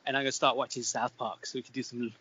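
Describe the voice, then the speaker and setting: A man's voice: mid-range voice, level tone, fast, pushed and bright, breathy edge. A man co-hosting a podcast and chatting casually about games. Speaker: podcast co-host. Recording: dull lo-fi sound with faint noise.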